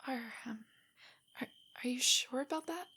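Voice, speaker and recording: A woman acting a breathy, shy nerdy girlfriend. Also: high voice, moderate pace, full character voice. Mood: insecure, subdued. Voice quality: soft, breathy, often whispery. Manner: hesitant, stammering, rambling. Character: shy, nervous, nerdy young girlfriend, self-doubting and affectionate. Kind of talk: intimate roleplay audio drama.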